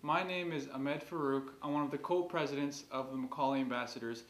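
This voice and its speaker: A young man co-hosting a student livestream, giving a slow, formal welcome. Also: medium pitch, a bit bright. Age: young adult. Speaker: co-host. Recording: echoey room, off-mic.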